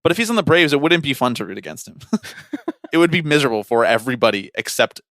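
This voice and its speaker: Animated, opinionated man in close, dry sound. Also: mid-range voice, fast talker, dry recording. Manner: opinionated, blunt, swearing. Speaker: podcast co-host. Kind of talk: casual sports chat.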